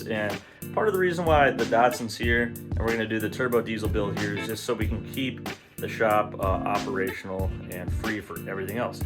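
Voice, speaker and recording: A man hosting a vlog, chatting casually to camera in a slangy, self-deprecating, sweary way. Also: on the low side. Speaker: vlog host. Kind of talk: casual chat to camera about car and bike builds.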